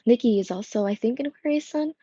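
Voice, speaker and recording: A woman's voice: on the high side, animated, fast, mellow, slightly rough, no room sound, muffled recording. A young woman chatting casually as a tarot reader, her voice worn and strained, rambling with many fillers and restarts. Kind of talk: casual livestream chat. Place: home room with coffee machine. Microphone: earbud headset mic.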